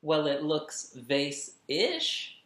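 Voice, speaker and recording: Storybook role: admiring little sister read by a man, emphatic and encouraging, raised pitch, echoey room. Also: wildly swooping pitch, extremely slow, a bit bright, heavily acted.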